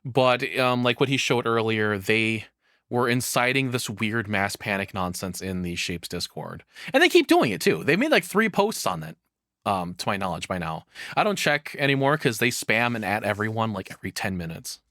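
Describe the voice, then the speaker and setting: A man giving casual reaction commentary as a streamer, rambling haltingly and swearing freely. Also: on the low side, big pitch swings, sharp tone, no echo, clean studio sound.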